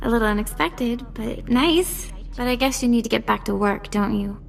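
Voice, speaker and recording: A flustered young servant in a video game. The voice is fairly high, hesitant and stammering, easily thrown off balance. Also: female voice, normal speed, creaky. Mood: bashful. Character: nervous, flustered young female servant, smitten. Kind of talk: video game dialogue acting.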